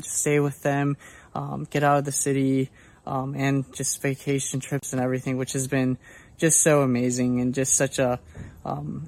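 Flat-toned young man from Colombia. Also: medium pitch. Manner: soft-spoken, hesitant, many fillers. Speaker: church member giving video testimony. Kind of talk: casual recorded testimony.

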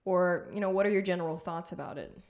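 Animated woman with a lilt. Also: on the low side. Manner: animated, laughs easily, quick bursts. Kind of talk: casual conversation.

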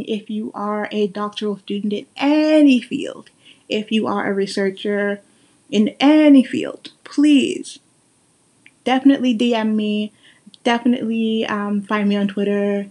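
A woman in her thirties from Guyana with a Guyanese accent, hosting a solo podcast in an earnest, emphatic way, slowing down to stress her points. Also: mid-range voice, fairly even intonation. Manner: earnest and emphatic, with slow deliberate stresses and warm laughs. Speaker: podcast host. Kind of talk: casual solo podcast monologue.

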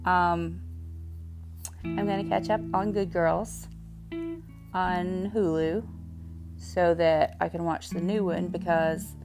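A young woman with a Southern US accent, chatting candidly and rambling on her solo podcast, full of fillers and pauses. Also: lowish voice, fairly even intonation, music in the background. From Southern United States. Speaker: podcast host.

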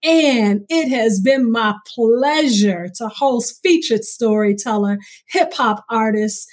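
A woman's voice: a little high, slow. A woman hosting a podcast, interviewing a guest and addressing her listeners. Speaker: podcast host.

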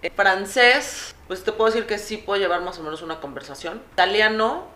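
A woman's voice: medium pitch, brisk, sharp tone. A woman with a Mexican accent co-hosting a casual comedic chat, playfully switching between languages and accents. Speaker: co-host. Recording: echoey room with faint noise.

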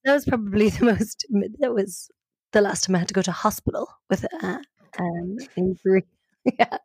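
A woman's voice: medium pitch, moderate pace, darkish tone, husky, no room sound. A woman with an Irish accent, talking casually as co-host of a storytelling podcast. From Ireland.

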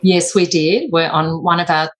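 A woman's voice: lowish voice, fairly even intonation, normal speed. A woman chatting casually and agreeably about travel and food. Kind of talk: casual chat.

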